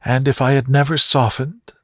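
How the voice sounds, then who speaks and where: A man voicing a tender, thoughtful young gentlewoman in love, murmuring softly for a romantic bedtime story. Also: medium pitch, flat delivery, normal speed, dry recording, clean studio sound, voice pushed up, natural voice. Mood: teasing.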